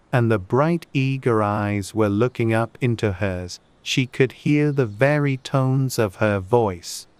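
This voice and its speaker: Male audiobook narrator, medium pitch, unhurried storybook read, dry close recording. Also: dry recording.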